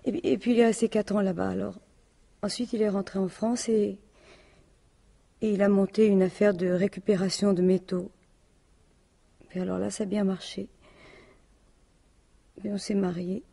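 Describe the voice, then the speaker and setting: An actress playing a grieving, plain-spoken widow in a French radio detective drama, speaking haltingly. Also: female voice, mid-range voice, flat delivery, gravelly, dark tone. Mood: subdued, halting. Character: grieving, indignant widow of a murdered businessman.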